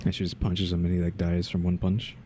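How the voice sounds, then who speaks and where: A man's voice: deep voice, monotone, a little fast, noisy background. A man giving casual, laid-back gameplay commentary, musing aloud as he plays. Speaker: gamer, video creator.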